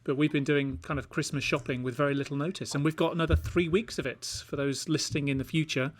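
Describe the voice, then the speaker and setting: A man's voice: a little high, fairly even intonation, fast talker. A British man chatting casually as a podcast host. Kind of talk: casual chat.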